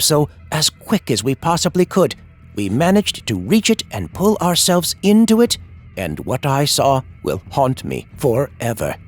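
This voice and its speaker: A man reading a scripted first-person horror story in a suspenseful, dramatic manner. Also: on the high side. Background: music.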